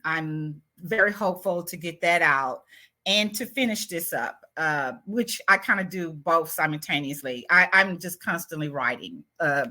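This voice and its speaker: Reflective woman writer, slightly low. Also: bright, forceful tone. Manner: reflective, self-doubting, laughs lightly, many fillers. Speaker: award-winning writer, guest. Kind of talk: casual interview answers.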